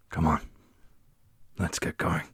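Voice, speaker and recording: A man playing a teasing, tender dark prince with a breathy, intimate voice in an ASMR fantasy roleplay. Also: slight background noise, full character voice. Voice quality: low, breathy, whispery.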